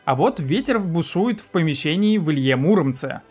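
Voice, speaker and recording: Higher-pitched man hosting a film review. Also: animated, normal speed, over loud music. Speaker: film review video host. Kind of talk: scripted video essay narration. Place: small indoor room, seated at desk.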